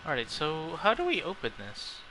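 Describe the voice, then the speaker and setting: A man's voice: fairly high pitch, huge pitch swings, moderate pace, low-quality recording. A man streaming a game with casual, hesitant commentary, thinking aloud as he plays. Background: game music.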